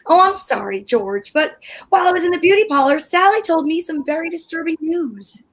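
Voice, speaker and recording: A woman's voice: extremely high pitch, animated, brisk. A woman reading the part of a huffy, exasperated wife in an amateur old-time radio play. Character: wife Liz, fussy dramatic housewife.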